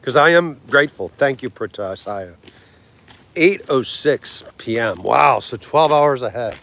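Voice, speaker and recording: Casual streamer, man, late forties, mid-pitched, chatty and loose, quick to laugh. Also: expressive, gravelly, fairly bright tone. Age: 40s. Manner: chatty, laughs easily, tries foreign words slowly. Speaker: livestream host. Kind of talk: casual livestream chat with viewers.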